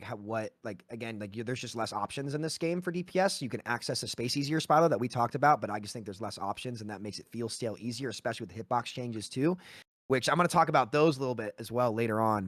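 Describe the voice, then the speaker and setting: Man, late twenties, rapid speech, dry sound, casual opinionated gaming talk, emphatic delivery. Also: medium pitch, fairly bright tone, no echo. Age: late 20s. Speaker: podcast panellist.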